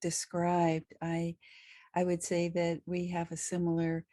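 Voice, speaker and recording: A woman's voice: fairly low pitch, monotone, unhurried, mellow, no room sound, clean studio sound. A woman hosting a conversational podcast interview in an affirming, reflective way. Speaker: podcast host and psychologist.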